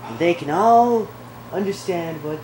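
A man's voice: high-pitched, expressive, a little slow, darkish tone, roomy sound, slight background noise, poor audio. A teenage boy co-hosting a casual vlog at home, teasing and wisecracking. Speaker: vlog co-host. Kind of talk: casual chat.

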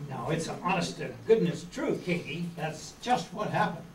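An amateur actor playing a practical, take-charge leader of castaway children in a staged reading of an old radio adventure. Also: male voice, on the high side, animated, normal speed, natural voice. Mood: earnest. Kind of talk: 1930s children's radio adventure acting, read from script. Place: meeting room stage before seated audience. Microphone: far camera. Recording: roomy, distant camera mic, faint noise.

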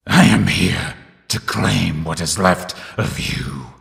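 A sadistic animatronic rabbit killer from a horror video game, growls with extremely slow, patient menace. The voice is low and full of heavy gravel, and it rings out in a long cavernous reverb. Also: male voice, a bit bright, exaggerated voice. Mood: cold menace. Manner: slow, drawn-out, menacing delivery. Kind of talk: video game villain voice lines.

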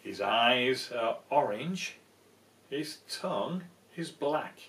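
A man's voice: mid-range voice, animated, slow pace, a bit bright. An older man reading a children's story aloud expressively, recorded indoors a little away from the microphone. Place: small room indoors full of soft toys. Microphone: camera a short distance away. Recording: roomy, distant microphone.

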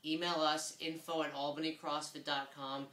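A man's voice: on the high side, flat delivery, moderate pace, pushed and bright. Upbeat man in an echoey office. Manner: upbeat, gestures a lot with both hands. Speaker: gym owner giving weekly update. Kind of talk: casual talk to camera. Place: small office room with desk and chairs. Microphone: fixed camera a few feet away.

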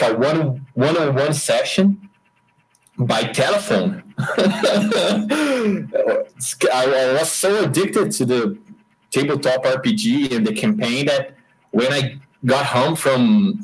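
A Brazilian man talks in English at a slow, unhurried pace, his pitch sitting in an ordinary middle range. He speaks with a Brazilian Portuguese accent and restarts his phrases often. He is a guest in a relaxed interview. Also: breathy edge, poor audio. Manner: hesitant, many restarts, laughs often. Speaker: interview guest, game designer. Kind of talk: casual interview over a call.

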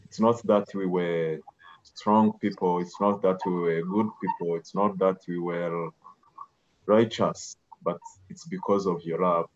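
A man leading a spoken prayer in a slow, reverent manner. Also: sits low, steady tone. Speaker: church member leading prayer. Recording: dull lo-fi recording.

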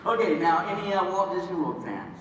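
A man hosts a live panel with a lively, varied delivery. His informal introductions reach us from far away through heavy hall echo and loud crowd noise. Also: high voice, fairly quick. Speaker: panel host. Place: large convention hall, recorded from the audience. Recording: echoey noisy convention hall from far off.

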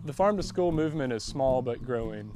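A man in his thirties speaks in a medium-pitched voice, presenting scripted information on a lapel mic. Guitar music is clearly audible behind him. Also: lively intonation, a little fast. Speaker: farmer. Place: plastic greenhouse full of plants. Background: plucked guitar music.

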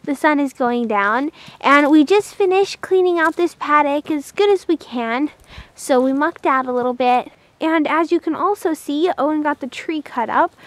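A woman narrating a casual horse vlog in a chatty way, speaking fondly of her animals. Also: high-pitched. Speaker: horse vlogger.

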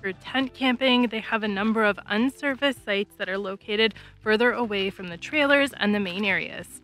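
A woman's voice: mid-range voice, moderate pace, a bit bright, soft background music. A woman, giving casual travel vlog narration. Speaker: travel vlogger.

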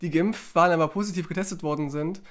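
A man co-hosting a podcast, chatty and rambling, with hesitations and fillers between thoughts. His voice sits a little higher than usual for a man. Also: steady tone, quick pace, a bit bright. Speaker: podcast co-host. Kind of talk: casual podcast banter.

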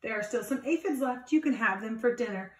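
A woman's voice: a little high, brisk, lightly acted. A woman voicing a friendly, polite little ladybug sweetly in a storybook read-aloud. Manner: sweet, polite, sing-song offers. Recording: echoey room, distant phone mic.

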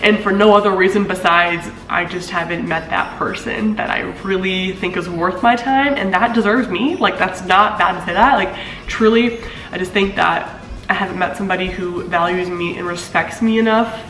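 Young woman vlogger with a deeper voice, casual and chatty, laughs a lot, recorded on a camera mic in a roomy apartment. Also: normal speed, a bit bright. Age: 20s. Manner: chatty, laughs often, swoops up in pitch. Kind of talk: casual chat reading comments. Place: living room of an apartment. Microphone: camera mic at a few feet. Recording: echoey room.

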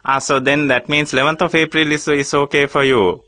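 A man's voice: a little high, steady tone, a little fast, sharp tone, no echo. A man with a Sri Lankan accent making a prank phone call, stammering through overly polite airline-agent talk. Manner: hesitant, stammering, fake customer-service politeness. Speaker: radio prank caller.

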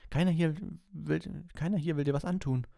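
Storybook teenage boy, played by a man: pitch lifted far above the reader's, baffled, coaxing delivery. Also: normal speed, gravelly, warm tone, no echo, studio quality. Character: young teenage schoolboy, bewildered, protective pet owner.

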